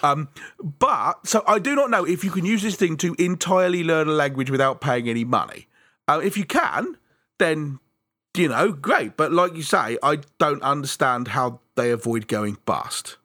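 Bright, lively chatty man. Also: fairly high pitch, no room sound, clean studio sound. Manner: chatty, self-deprecating, laughs often, halting restarts. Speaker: podcast co-host. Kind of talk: casual chat.

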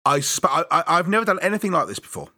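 A man with a bright, lively voice that swings up and down as he chats, stumbling over restarts and laughing at himself. He sits close to a dry mic. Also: fairly high pitch, no echo. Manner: chatty, self-deprecating, laughs often, halting restarts. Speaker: podcast co-host. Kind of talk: casual chat.

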